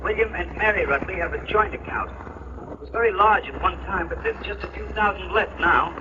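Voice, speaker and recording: An obliging bank man played by an actor in 1940s radio style. His voice is high and politely businesslike. The recording is dull, with clear noise behind it. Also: a little fast, natural voice. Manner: courteous, businesslike. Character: polite, obliging male bank official on telephone. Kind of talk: 1940s radio drama acting.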